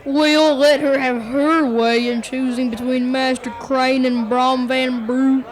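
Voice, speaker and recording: A girl acts a dry, teasing villager in a school radio drama. She speaks high and slowly, over faint crowd murmur. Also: level tone. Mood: teasing, musing. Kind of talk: school radio play acting.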